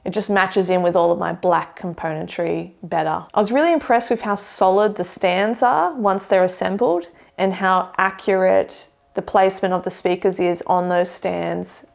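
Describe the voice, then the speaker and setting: A young woman seated in a living room, giving a measured, detailed review of speakers to camera. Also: mid-range voice, vocal fry. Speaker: audio equipment reviewer. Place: living room with hi-fi shelves, indoors.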